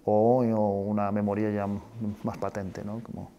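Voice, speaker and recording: Low-voiced man, halting and reflective. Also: level tone, warm tone, highs cut off, a little hiss or hum. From Spain and Chile. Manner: halting and searching, often ends phrases with a questioning tag. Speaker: film director. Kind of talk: reflective interview talk about own work.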